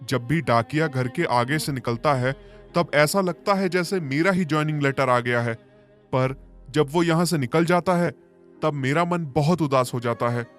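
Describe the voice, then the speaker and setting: A man voicing an earnest, worried educated young village son in an animated moral story. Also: on the high side, moderate pace, soft background music, lightly acted. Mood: downcast.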